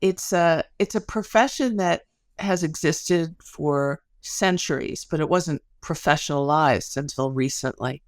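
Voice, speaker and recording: A woman's voice: sits low, a little slow, no echo, studio quality. A woman, a sociologist and podcast guest, explaining things conversationally in an interview.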